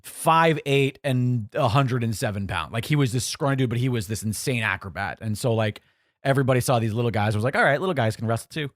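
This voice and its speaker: A man's voice: mid-range voice, lively intonation, fast, no room sound, studio quality. A man in his forties, possibly, co-hosting a podcast in casual chat, rambling and self-correcting with a joking tone. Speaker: podcast co-host.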